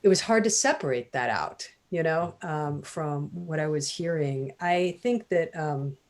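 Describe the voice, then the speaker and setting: Low-voiced woman musing hesitantly. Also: a little slow. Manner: thoughtful, hesitant, many fillers. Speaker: author. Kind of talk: casual literary conversation.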